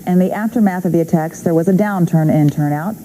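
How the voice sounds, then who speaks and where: A woman's voice: lowish voice, quick pace, warm tone, low-quality recording. An American woman anchoring a TV newscast with scripted delivery. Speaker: news anchor.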